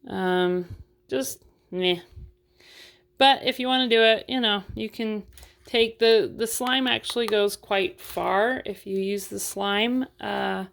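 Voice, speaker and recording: A woman's voice: mid-range voice, slow, creaky, a bit bright. A woman chatting casually through a doll unboxing review, frank and full of fillers, while losing her voice.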